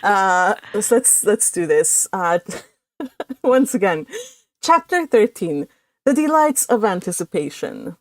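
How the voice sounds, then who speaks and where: A woman's voice: medium pitch, very animated, slow pace, no echo. A woman hosting and narrating a storybook read-along. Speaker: host and narrator.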